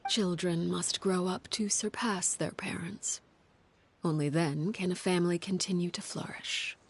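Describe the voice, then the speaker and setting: Game role: stern female head of a secret family. Low register, formal, measured, authoritative. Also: steady tone, a little hiss or hum. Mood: resolute. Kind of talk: scripted video game dialogue.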